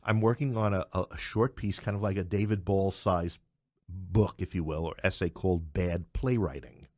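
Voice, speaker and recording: A man's voice: low voice, moderate pace, darkish tone, dry recording, studio quality. A middle-aged man from New York City talking as a podcast guest, opinionated and emphatic, repeating phrases for stress. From New York City, United States. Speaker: podcast guest, playwright and English professor. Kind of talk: conversational interview, opinionated.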